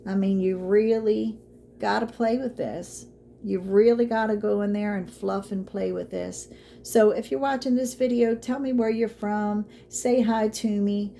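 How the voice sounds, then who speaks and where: Lady, hoarse, walking you thru a craft at home, mellow dark voice, faint room hum. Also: mid-range voice, fairly even intonation, measured pace. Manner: chatty, encouraging, says y'all a lot. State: losing her voice. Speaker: craft tutorial host. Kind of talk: casual how-to vlog.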